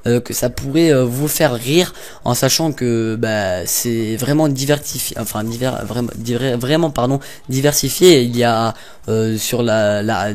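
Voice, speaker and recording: A man's voice: medium pitch, darkish tone. Rambling young man doing game commentary. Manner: rambling, hesitant, jokey with frequent fillers. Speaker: gaming YouTuber. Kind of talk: casual gameplay commentary.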